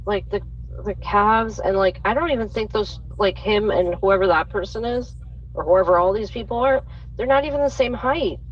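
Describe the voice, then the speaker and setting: A woman's voice: mid-range voice, normal speed, distant mic. A woman in a live audio chat, doubtful and searching for words with many fillers. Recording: dull audio from off mic.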